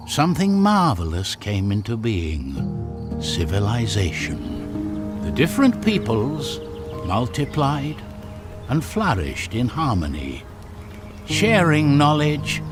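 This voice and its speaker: Male narrator, medium pitch, expressive swings, solemn storybook delivery, theatrical, measured. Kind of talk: dramatic scripted storybook narration for a game. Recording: music behind the voice. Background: loud music.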